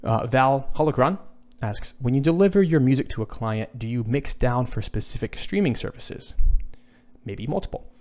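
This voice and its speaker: A man in his thirties with a low voice, a music composer answering viewer questions in a casual livestream from his small home studio, thinking aloud with frequent fillers and restarts. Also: animated, normal speed, darkish tone. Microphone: boom studio microphone, headphones on.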